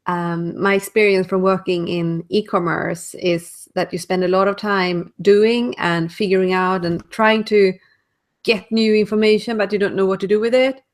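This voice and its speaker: Swedish-accented woman on a video call. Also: medium pitch. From Sweden. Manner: thoughtful, searches for words. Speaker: guest, speaker and author. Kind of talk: casual interview answers.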